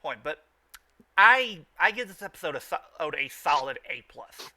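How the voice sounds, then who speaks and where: A man hosting a casual podcast, talking off the cuff in a halting way with stammered, repeated words. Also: on the high side, big pitch swings, sharp tone, slight background noise. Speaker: podcast host. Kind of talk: casual unscripted podcast chat.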